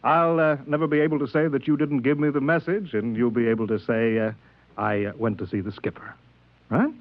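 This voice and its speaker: A very tinny, phone-like old radio recording of a man acting a sly male passenger. His medium-pitched voice is affable and coaxing, slipping manipulation into every friendly remark. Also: fairly quick, close to an everyday voice. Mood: sly, confiding. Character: smooth-talking, manipulative male passenger sowing distrust aboard.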